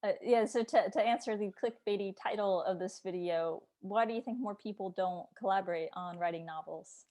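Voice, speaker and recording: A woman's voice: medium pitch, fairly quick, hardly any pauses, mellow. A woman hosting a casual interview, speaking in an agreeable, hedging, conversational way.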